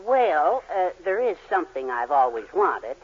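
A man's voice: fairly high pitch, big pitch swings, measured pace, breathy edge, exaggerated voice. A man playing a meek, hesitant middle-aged husband and father in a 1940s radio comedy. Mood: timid, doting. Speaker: sitcom actor. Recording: noisy, muffled 1940s radio recording.

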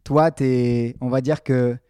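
A man's voice: medium pitch, steady tone, slow, dark tone, smooth, dry recording, studio quality. A man interviewing an athlete in a casual, friendly way, asking long rambling questions with restarts.

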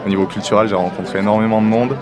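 A man's voice: lowish voice, fairly even intonation, racing, over music. A man, talking informally about himself in an interview. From southern France. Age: 20s. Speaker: business school student interviewee.

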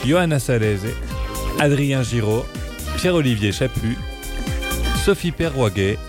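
A man's voice: medium pitch, expressive, measured pace, over music. A man reading scripted end credits as a podcast announcer.

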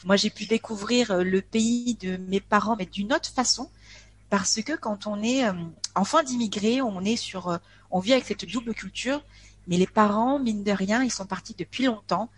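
A woman's voice: mid-range voice, moderate pace. Woman, 40, from France; hesitant, casual guest chatter. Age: 40s. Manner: hesitant, with many filler sounds and restarts. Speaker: podcast guest. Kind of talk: casual interview chat.

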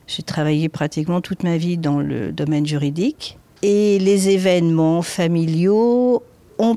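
A woman's voice: on the low side, mellow. A woman in her sixties from southern France, casually recalling her life in plain, colloquial words as an interviewee. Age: 60s. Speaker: restaurant chef interviewed. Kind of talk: casual spoken recollection.

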